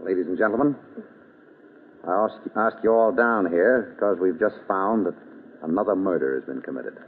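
An actor playing a shrewd, unruffled detective in a vintage radio drama, questioning in a wry, probing way. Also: male voice, sits low, normal speed, narrow, tinny audio, slight background noise, natural voice. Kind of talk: 1930s-40s radio drama acting. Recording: thin old radio broadcast.

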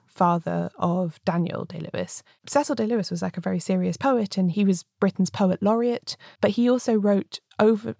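A woman who makes a podcast on crime fiction, explaining in a measured, expository way. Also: lowish voice, gravelly, warm tone, no room sound, studio quality. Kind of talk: informed interview explanation.

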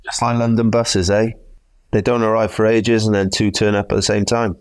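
Low-voiced Welsh podcast host. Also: male voice, level tone, fairly quick. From Wales. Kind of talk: casual chat.

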